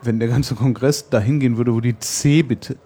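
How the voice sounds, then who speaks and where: A man's voice: medium pitch, fairly even intonation, normal speed, warm tone, slightly rough. A man in casual banter, teasing and contradicting as he chats.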